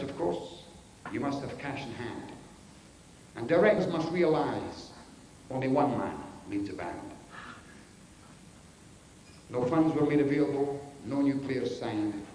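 A man reciting a rhyming tribute as a ceremonial, measured speech at an event. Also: medium pitch, warm tone. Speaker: event speaker giving a tribute. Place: large echoing indoor hall. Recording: very echoey hall, faint noise.

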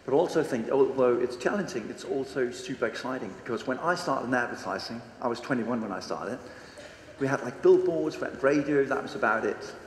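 A man's voice: medium pitch, brisk, a little hiss or hum. A Danish man in his forties with a Danish accent, giving a casual, blunt stage talk to a seated audience and quizzing them as he goes. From Denmark. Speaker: conference speaker. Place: large hall with a seated audience. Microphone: handheld microphone on stage. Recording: echoey hall with long reverb, faint ambience.

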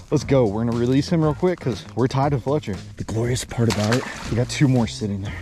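A man competing in a casual fishing video, talking in hyped slang with short repeated exclamations. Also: mid-range voice. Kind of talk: casual outdoor vlog chat.

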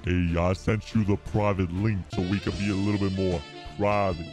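A man voicing a cocky, awkward gamer loser through a voice changer that pitches his voice down, in an improvised online prank call. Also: level tone, normal speed, music in the background. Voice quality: deep, digitally lowered. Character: cocky, sleazy deep-voiced male gamer braggart, socially awkward loser.

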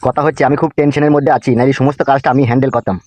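A man as a rapid-fire grumbling cartoon big brother. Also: mid-range voice, steady tone, highs cut off, over music, close to an everyday voice. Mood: aggrieved. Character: crude, aggrieved big brother, sweary philandering husband. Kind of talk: comic cartoon dialogue.

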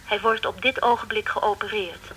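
Woman playing a bright, efficient switchboard assistant. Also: medium pitch, fairly even intonation, moderate pace, a little hiss or hum, lightly acted, low-quality recording. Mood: businesslike. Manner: crisp, formal announcing. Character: efficient, businesslike female radio switchboard assistant. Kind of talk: radio drama acting.

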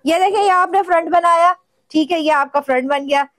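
A woman's voice: very high-pitched, fairly quick, fairly bright tone, no echo. A woman from Pakistan, hosting a casual shopping vlog and chatting eagerly with a seller. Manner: chatty, eager, narrates to viewers. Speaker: host.

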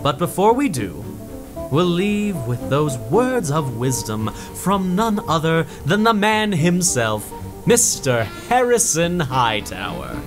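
Vintage radio host, slow, lively pitch, music behind. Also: male voice. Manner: measured, announcer-like. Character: genial old-time radio show host, man. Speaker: radio show host in the drama. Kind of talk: 1930s radio announcer acting.

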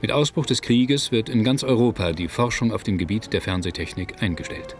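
Low-pitched male documentary narrator over music. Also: expressive. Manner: measured, factual. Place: studio voice-over, music behind.

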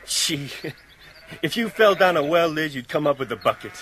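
An actor playing a brash, excitable small-time American crook in a radio drama, slangy and blustering. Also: male voice, a little high, normal speed, a little hiss or hum. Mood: excited, indignant. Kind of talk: 1980s radio drama acting.

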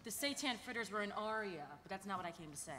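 A woman's voice: mid-range voice, animated, fast, sharp tone. A young woman playing an earnest, fretful young artist, gushing and melodramatic, at a live table read. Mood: upset. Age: young adult. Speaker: actress. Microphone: table microphone. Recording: echoey convention hall, faint noise.

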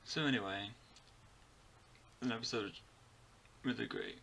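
A young man in his twenties with a bright, gravelly voice talks casually as he reacts. His delivery is halting and fragmented, and slow overall. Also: on the low side, slight background noise. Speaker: reaction video host. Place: bedroom, indoors.

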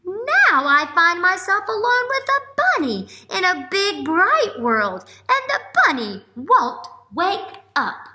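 A sweet little storybook duckling, voiced by a woman reading aloud, high and bright. The duckling sounds curious and wide-eyed, stretching out phrases with expressive delivery. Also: slow, hardly any pauses, exaggerated voice. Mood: wondering.

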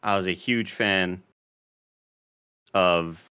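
Male co-host, lowish voice, halting, deadpan, desk mic at home. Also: clear, resonant tone. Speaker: podcast co-host. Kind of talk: casual podcast banter.

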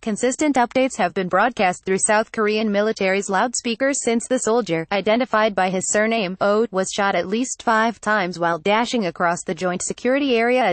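A woman reading a scripted news report in an even, flat delivery. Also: mid-range voice, dry recording. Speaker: news reader.

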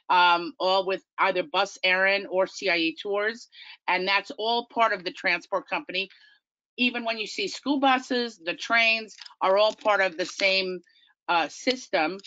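A woman's voice: on the low side, pushed and bright, dry recording, muffled recording. A middle-aged woman with a Long Island accent, presenting a tour company's slideshow in a chatty, enthusiastic way. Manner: chatty, enthusiastic, self-deprecating. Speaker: tour company business development manager.

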